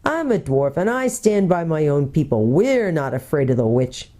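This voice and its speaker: A woman's voice: sits low, sing-song, moderate pace, warm tone. A woman voicing a bitter, defiant male dwarf in a storybook, argumentative and sour, with a snapping, sneering manner. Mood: cold.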